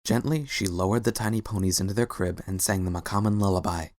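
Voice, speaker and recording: A man's voice: low voice, fairly even intonation, fast, a little mellow. A man narrating a scripted story as a dramatic reading.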